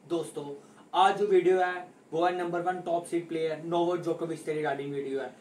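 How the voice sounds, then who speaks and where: A man's voice: on the high side, moderate pace, slight background noise. A young man from India giving a casual post-match tennis breakdown as a sports vlogger, gesturing as he walks through each score. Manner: animated hand gestures, repeats score calls. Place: small indoor room at home. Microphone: camera at mid distance. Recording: roomy, slightly distant home mic.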